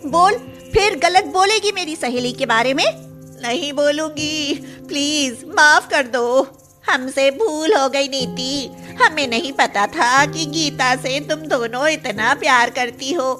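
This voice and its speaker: Young schoolgirl played by a woman, very high voice, emotional, cartoon moral tale with music underneath. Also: takes it slowly, sharp tone, full character voice. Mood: defiant, then pleading. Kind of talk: acted Hindi moral cartoon story.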